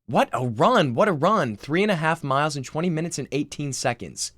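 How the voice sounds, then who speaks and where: A man's voice: on the high side, lively intonation, a little fast, fairly bright tone, no echo, studio quality, voice pushed up. A man voicing a brash, self-obsessed father in his forties, boastful and exasperated, in a screenplay reading.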